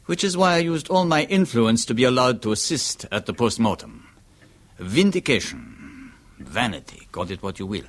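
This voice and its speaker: An actor playing a measured, ironic French doctor with a French accent in a 1970s radio drama. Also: male voice, lowish voice. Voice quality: deliberate, ironic, softly theatrical. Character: suave older male French doctor, measured and wry. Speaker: physician.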